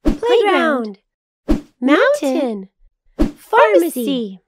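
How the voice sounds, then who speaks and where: Cheerful little girl for a kids cartoon, really high and bouncy, caring, teachy. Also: very animated, extremely slow, full character voice. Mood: encouraging. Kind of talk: children's cartoon dialogue, teaching the viewer.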